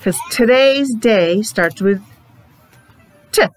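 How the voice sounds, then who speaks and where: A woman's voice: a little high, lively intonation, slow, faint music behind. A middle-aged woman leading a lesson for small children in simple, sing-song talk with questions, slightly muffled by a face mask, recorded in a classroom at arm's length. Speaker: preschool teacher. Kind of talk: children's morning meeting lesson, asking questions. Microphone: device at about arm's length. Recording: noisy room, device microphone.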